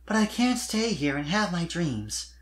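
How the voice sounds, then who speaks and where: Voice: medium pitch, very animated, measured pace, voice pushed up. A performer voicing an exasperated young female pony pop singer from a storybook, wry and wistful, with dramatic, emphatic, teasing delivery.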